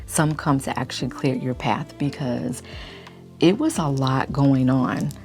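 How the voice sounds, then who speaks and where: A woman vlogger chatting casually in a deep morning voice, keeping it low and quiet. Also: measured pace, soft background music. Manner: speaking low to stay quiet. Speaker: lifestyle YouTube vlogger. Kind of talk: casual chit-chat vlog.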